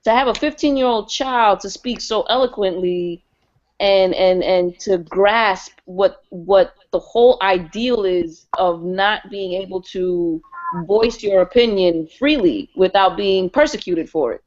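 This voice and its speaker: A woman's voice: mid-range voice, unhurried, a little mellow. A woman taking part in an online open mic, talking casually and with emphasis. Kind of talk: casual, emphatic livestream chat. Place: indoors on an online group call. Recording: dull lo-fi online call audio.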